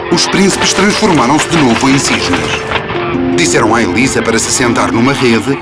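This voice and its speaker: A man with a European Portuguese accent narrating a scripted fairy tale for children. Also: medium pitch. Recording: loud music under the voice. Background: music.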